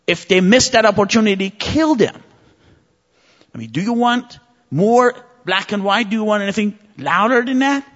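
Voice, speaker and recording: A man's voice: high-pitched, expressive, moderate pace, fairly bright tone. A man from Iran, a native Persian speaker, preaching an impassioned sermon with vivid stories. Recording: muffled recording with long reverb.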